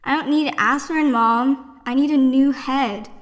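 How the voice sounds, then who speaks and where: A woman voicing an anxious, sarcastic thirteen-year-old suburban girl in an audio drama, with an expressive, slangy delivery. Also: sits high, a little slow. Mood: fretful, muttering.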